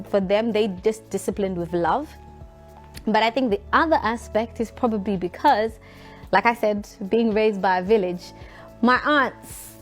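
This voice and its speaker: Expressive woman telling family stories. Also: medium pitch. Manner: expressive, quotes relatives in character. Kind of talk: personal storytelling in interview.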